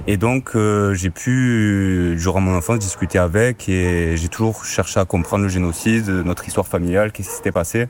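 A man's voice: sits low, normal speed, hardly any pauses, a little mellow, slight background noise. A young man of twenty, an interview guest, speaking earnestly with many fillers. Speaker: student guest. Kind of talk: casual interview.

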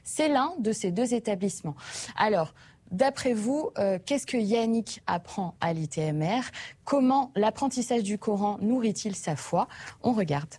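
A woman's voice: medium pitch, animated, unhurried, mellow, husky. A woman presenting a television segment in a conversational way, putting questions to her co-host. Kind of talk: introducing a report on air.

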